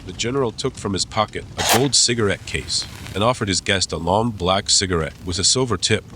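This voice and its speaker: A man's voice: lowish voice, brisk, background noise. A man narrating a dramatized classic story as an audiobook, reading scripted literary prose.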